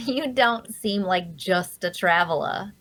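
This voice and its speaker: A woman's voice: medium pitch, takes it slowly, fairly bright tone, low-quality recording. A woman playing a sly young female thief in a fantasy drama, with a coy, teasing, conversational way of talking. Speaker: voice actor. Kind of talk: scripted character dialogue at a table read.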